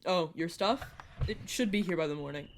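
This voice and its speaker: A woman's voice: lowish voice, normal speed, background noise, natural voice. A woman voice actor playing a playful, sarcastic young vampire mentor who chats and teases in an audio roleplay. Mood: friendly, teasing. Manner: teasing, sarcastic, chatty. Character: playful, teasing vampire mentor, turned at nineteen. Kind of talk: narrative audio roleplay, one-sided conversation.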